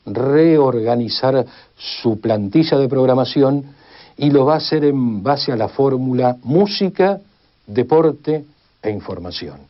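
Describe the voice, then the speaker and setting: Argentine man explaining history formally, long lists, mid pitch, slightly muffled. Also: animated, slow pace. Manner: formal, builds long enumerations. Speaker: expert commentator on radio history. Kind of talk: measured spoken exposition. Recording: slightly dull, band-limited sound.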